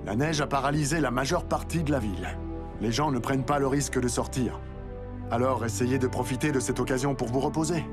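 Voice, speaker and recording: An actor playing a calm, fatherly rescue team leader in a French cartoon dub, measured and encouraging. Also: mid-range voice, lightly acted. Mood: reassuring. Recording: music behind.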